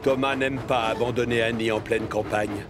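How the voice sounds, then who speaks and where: A man's voice: mid-range voice, steady tone, moderate pace. Male children's cartoon narrator over music.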